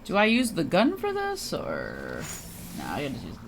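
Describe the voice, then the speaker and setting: A woman's voice: fairly low pitch, wildly swooping pitch, normal speed, vocal fry, background noise. A woman doing casual, chatty live gameplay commentary as a streamer, giggly and free with swearing. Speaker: game streamer.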